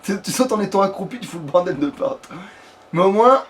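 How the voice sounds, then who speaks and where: A man's voice: sits high, animated, fairly quick. A man giving casual, rambling let's play commentary peppered with swearing. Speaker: let's player.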